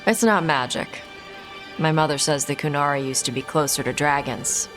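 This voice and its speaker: A woman's voice: low-pitched, moderate pace, over music, lightly acted. A woman playing a blunt, prickly young horned warrior who breathes fire, informal video game dialogue. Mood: matter-of-fact.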